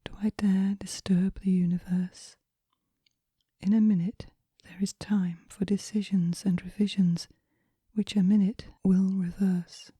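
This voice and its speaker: A woman reads poetry for relaxation in a soft, breathy whisper, with a gentle, measured, hushed delivery in ASMR style. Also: medium pitch, flat delivery, dry recording. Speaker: poetry reader.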